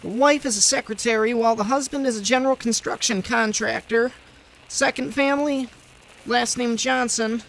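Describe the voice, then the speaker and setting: An actor playing an earnest, overeager young rookie police detective in an old time radio drama. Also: male voice, extremely high pitch, steady tone, normal speed, gravelly, fairly bright tone, exaggerated voice, poor audio.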